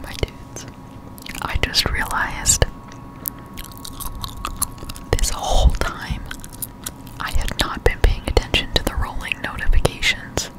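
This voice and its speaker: A young woman whispers casual, halting ASMR gameplay commentary, breathy and close to the microphone. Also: poor audio. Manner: casual, halting, slangy explanation. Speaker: gaming ASMR streamer. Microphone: close-up desktop condenser microphone.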